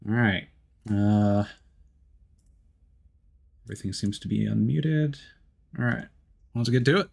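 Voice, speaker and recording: A man's voice: fairly low pitch, takes it slowly, vocal fry, a little mellow. A man livestreaming a video game with casual, chatty and informal commentary, talking to his viewers.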